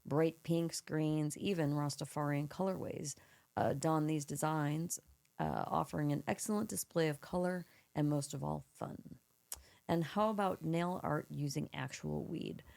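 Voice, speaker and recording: Low froggy woman w/ gravelly fry, reading notes on a chill podcast. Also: measured pace, darkish tone. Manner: reads prepared segment aloud, then chats casually. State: froggy, slightly hoarse voice. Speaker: podcast co-host. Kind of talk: casual podcast chat.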